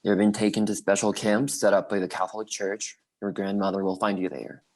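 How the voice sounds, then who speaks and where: A man's voice: on the low side, level tone, fairly quick, a little mellow. A man reading the part of a Cuban judge and father sending his son away, earnest and fatherly, in a play table read. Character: stern but tender Cuban father, a judge.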